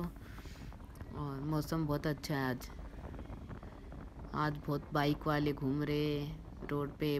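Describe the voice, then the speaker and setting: A woman's voice: sits low, slight background noise. A woman in her thirties chatting cheerfully to viewers in a casual travel vlog, filming with a phone at arm's length outdoors. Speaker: vlogger. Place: outdoors on a hill road. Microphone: phone held at arm's length.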